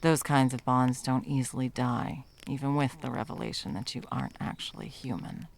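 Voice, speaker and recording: A woman playing a stern, condescending yet tender monster hunter in an ASMR roleplay, commanding and dropping often into breathy whispers. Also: a bass voice, moderate pace. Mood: grave, conflicted. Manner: commanding, condescending, with pet names.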